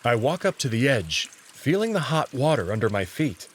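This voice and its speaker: A man reading scripted, expressive first-person narration as the narrator of a visual novel. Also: mid-range voice, normal speed, slight background noise.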